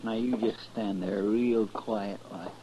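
Drawling frontier deputy. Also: male voice, lowish voice, fairly even intonation, takes it slowly. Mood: firm, warning. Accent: rural American. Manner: drawling, folksy. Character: folksy, dutiful male deputy sidekick in old West. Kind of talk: 1950s radio western acting. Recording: muffled 1950s radio recording, faint noise.

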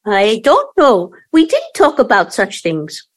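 High, very animated, earnest younger daughter, played by a woman. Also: slow, heavily acted. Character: conciliatory younger daughter, earnest, quick to bristle. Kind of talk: audio play acting.

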